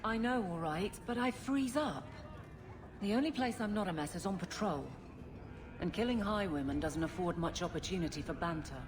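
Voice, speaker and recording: An actress playing a proud guard captain who is blunt, flustered and halting, awkward about romance, in a video game cutscene. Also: female voice, lowish voice, expressive, natural voice. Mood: dejected.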